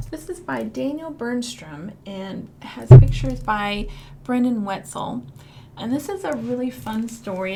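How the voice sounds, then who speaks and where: A woman's voice: fairly high pitch, a little mellow, a little hiss or hum. A woman with a Midwestern American accent, a children's librarian leading storytime with songs and read-alouds in a playful, sing-song way with dramatic whispers.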